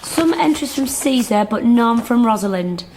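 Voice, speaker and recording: An actress playing a defensive, independent sister, talking in dry, blunt, clipped lines in naturalistic radio drama dialogue. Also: female voice, on the high side, expressive, moderate pace, darkish tone, close to an everyday voice. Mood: dry, curious. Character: defensive, independent pregnant woman, sharp with her brother.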